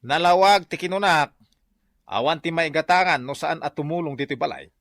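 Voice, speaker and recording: A man playing a bossy, excitable husband and father in an Ilocano radio drama, with a commanding manner. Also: fairly high pitch, brisk, dry recording, clean studio sound. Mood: stern. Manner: commanding, swings from muttering to yelling.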